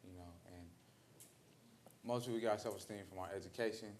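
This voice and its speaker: An American man giving reflective social commentary at a roundtable, speaking deliberately and repeating phrases for emphasis. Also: fairly low pitch, fairly even intonation, a little mellow, slight background noise. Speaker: roundtable participant. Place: indoor roundtable discussion at a museum.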